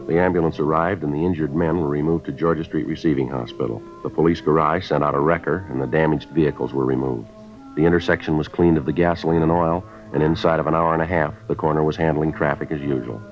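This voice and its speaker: An American actor playing a terse, deadpan police detective who narrates his case in a 1950s radio drama style. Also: a bass voice, quick pace. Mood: flat, matter-of-fact. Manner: deadpan, matter-of-fact. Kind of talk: 1950s radio acting, clipped scripted narration. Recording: dull vintage radio recording with music.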